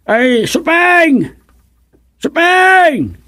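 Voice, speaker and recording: A man acting an excitable, high-pitched old village father-in-law. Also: drawn-out words, heavily acted. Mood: urgent, calling out. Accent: Ilocano. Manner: exclamatory, calling out orders. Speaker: voice actor in a radio serial. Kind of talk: Ilocano comedy radio drama acting.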